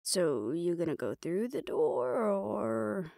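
Casting: sardonic, stubborn huntress played by a woman, lively creaky voice, audio drama. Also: lowish voice, slow pace, no echo, studio quality, full character voice. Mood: wry. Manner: wry, defiant, casual. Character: stubborn, sardonic, tough female supernatural hunter. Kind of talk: audio roleplay acting.

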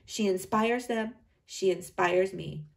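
A woman's voice: mid-range voice, slow, vocal fry, recorded from a distance. A woman reading as a confident male engineer.

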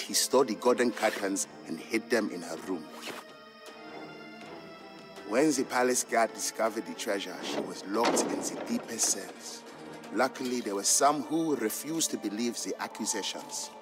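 An actor playing an Ethiopian trader and father, narrating old legends in a measured, fond storybook style for a game. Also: fairly low pitch, close to an everyday voice. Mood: reflective, storytelling. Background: background music.

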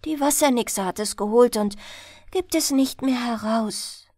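A woman's voice: a little high, measured pace, a little mellow, dry recording, studio quality. A woman reading a bedtime fairy tale, voicing an innocent, trusting little girl with an artless, childlike manner. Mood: sad, longing.

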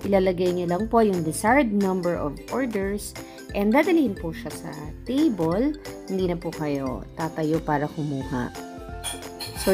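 A middle-aged Filipino-accented woman narrating a food vlog in a casual, presenting style. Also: lowish voice. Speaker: food vlogger.

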